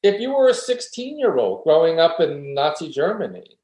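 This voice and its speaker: Guy in a roomy echoey space, slightly high voice, talking thru arguments like a professor, earnest. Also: huge pitch swings, normal speed, a little mellow. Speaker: guest philosophy professor. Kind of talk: conversational interview discussion. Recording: roomy, echoey room sound.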